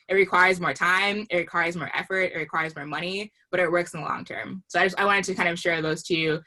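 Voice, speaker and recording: A woman's voice: lowish voice, pushed and bright. A woman author giving an articulate, earnest explanation in long sentences, as a guest in an interview. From Liberian family. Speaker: author being interviewed about a book.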